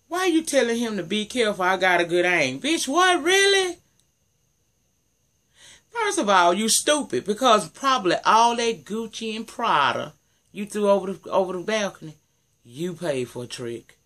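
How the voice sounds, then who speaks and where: A deep-voiced man recaps a TV episode in a sassy, profane, casual way. He talks straight to his viewers and calls them "girl" and "child". His pitch sits a little high for a man and swings up and down as he goes. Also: sing-song, normal speed, pushed and bright. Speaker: TV recap vlogger.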